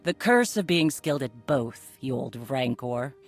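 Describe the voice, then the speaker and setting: A woman's voice: extremely low pitch, expressive, unhurried, soft background music, heavily acted. A woman playing a wry, self-assured leader of a warrior clan in a video game, dry and teasing in manner. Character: wry, commanding female Mandalorian leader.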